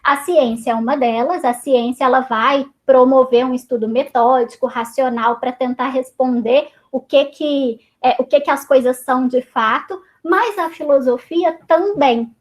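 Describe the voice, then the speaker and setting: A woman's voice: high voice, unhurried, few pauses. A woman with a Brazilian Portuguese accent, a teacher leading an online reading circle, chatty, with many fillers and restarts. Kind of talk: online class discussion. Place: home, video call. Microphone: computer mic on a video call.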